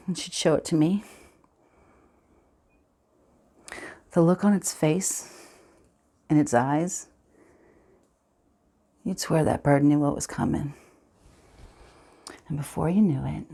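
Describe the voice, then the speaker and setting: A woman performing a dramatic film monologue as a somber storyteller recalling childhood, speaking in a hushed, halting, deliberate way. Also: sits low, gravelly, breathy edge, voice pushed down, exaggerated voice. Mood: hushed, reflective.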